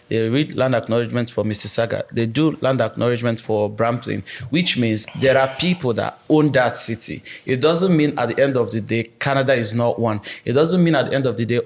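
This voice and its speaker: Nigerian male co-host, firm and opinionated, mid-pitched voice. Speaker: podcast co-host. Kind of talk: casual discussion.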